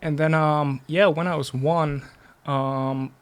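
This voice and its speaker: A man who grew up in the Netherlands, speaking with a Dutch accent as an interview guest, casually explaining and telling stories with pauses. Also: a little high, steady tone, smooth. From Netherlands, born in Russia. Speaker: podcast guest.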